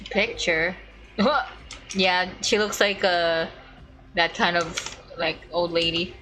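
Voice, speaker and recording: A woman's voice: fairly low pitch, steady tone, slow, bright, forceful tone, a little hiss or hum. A woman streaming a game, reacting casually in short, hesitant remarks.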